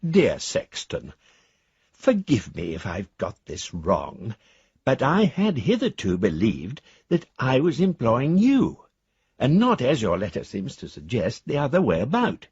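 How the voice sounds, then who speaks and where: Husky, lilting genteel old Englishman. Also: mid-range voice, huge pitch swings, measured pace, darkish tone, dry recording, full character voice. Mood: politely firm. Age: older. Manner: courteous, wordy, hesitant, musing. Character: genteel, bookish retired old man, politely stubborn. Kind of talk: radio drama, reading letters aloud. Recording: dry, slightly dull studio sound.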